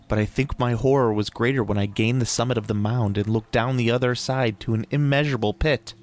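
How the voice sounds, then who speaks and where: A man reading a horror tale aloud as a dramatic audiobook narrator, in a deliberate, theatrical storytelling manner. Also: mid-range voice. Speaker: podcast host reading a story. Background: ambient soundscape.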